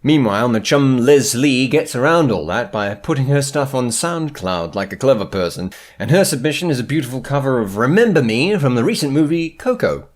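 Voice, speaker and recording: A man's voice: mid-range voice, big pitch swings, brisk, hardly any pauses. A middle-aged man narrating a showcase video as host, in a playful, jokey and theatrical scripted style. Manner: jokey, wry, theatrical phrasing. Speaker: host presenting viewer submissions.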